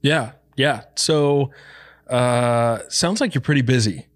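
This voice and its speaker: A man's voice: medium pitch, slow pace, no room sound, clean studio sound. A man co-hosting a podcast who is congested and nasal from allergies, chatting loosely and laughing often. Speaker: podcast co-host. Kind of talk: casual podcast chat.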